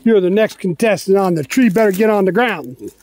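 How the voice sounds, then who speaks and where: A man's voice: high-pitched, animated, brisk, darkish tone, slight background noise. A man casually calling out warnings and jokes while cutting trees in a forest. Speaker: co-host helping in the woods. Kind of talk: casual chat during work. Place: outdoors in a forest.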